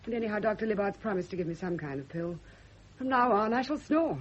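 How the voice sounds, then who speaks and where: A woman's voice: mid-range voice, expressive, fast, close to an everyday voice. A woman playing a controlled, dryly patronising British spinster in a radio drama. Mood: bright, brittle. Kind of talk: 1970s radio drama acting. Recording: dull, noisy old radio recording.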